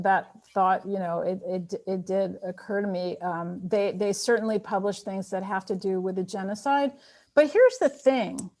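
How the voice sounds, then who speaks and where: A woman's voice: fairly high pitch, darkish tone. A woman author who grew up speaking Armenian, answering audience questions in a thoughtful, encouraging way with light laughter. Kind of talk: online Q&A conversation.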